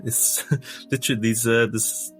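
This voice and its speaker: A man playing a tabletop role-playing game, improvising narration for his character in a halting, self-correcting way. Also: mid-range voice, flat delivery, a bit bright. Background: faint music.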